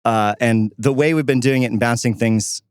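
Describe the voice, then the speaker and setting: A man's voice: medium pitch, normal speed, smooth, dry recording, clean studio sound. A man talking casually and conversationally as co-host of a podcast.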